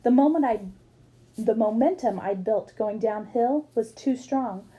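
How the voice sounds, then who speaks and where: A woman's voice: a little high, measured pace, dark tone, lo-fi sound. A woman reading a book aloud expressively, as for children, acting up the dialogue. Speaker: book reader.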